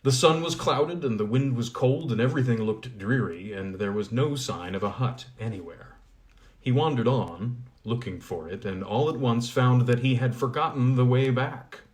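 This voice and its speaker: A man's voice: medium pitch, animated. A man who reads a fairy tale aloud, chatting casually between chapters. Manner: chatty with fillers in recap, measured when reading. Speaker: reader.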